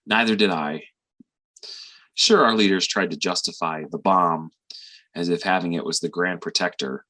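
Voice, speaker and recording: An actor playing a weary, tender middle-aged father and physician in a reflective, halting stage monologue. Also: deep voice, pushed and bright. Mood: tender, earnest. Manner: reflective, halting with sighs and pauses.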